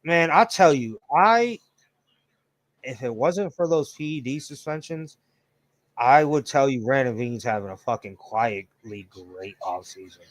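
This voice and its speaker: A man's voice: mid-range voice, animated, unhurried, no room sound. A man in his late thirties co-hosting a casual sports chat, laid-back and profane, full of slang. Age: 30s. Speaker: podcast co-host.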